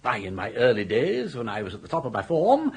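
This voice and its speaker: An actor playing a genial, scheming older upper-class English gentleman with an urbane, florid, self-assured manner in a radio comedy drama. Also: medium pitch, wildly swooping pitch, fairly quick, slightly rough, full character voice.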